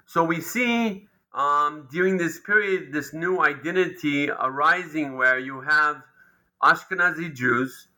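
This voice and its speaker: A man, a history lecturer, answering an interview question in a thoughtful, explanatory way with frequent pauses and restarts. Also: fairly high pitch, bright, forceful tone, poor audio.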